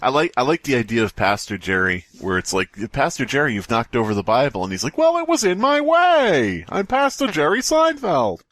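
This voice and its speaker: A man's voice: medium pitch, huge pitch swings, a little fast, hardly any pauses, fairly bright tone, no room sound. A man co-hosting a podcast, bantering casually and irreverently and acting out his jokes. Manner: irreverent, jokey. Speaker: podcast host. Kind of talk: casual comedic banter with acted quips.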